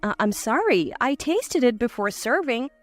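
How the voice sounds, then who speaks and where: Woman acting a high-voiced wronged young wife. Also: very animated, moderate pace, dry recording, soft background music. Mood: flustered, apologetic. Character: 35-year-old wronged daughter-in-law, meek but defiant.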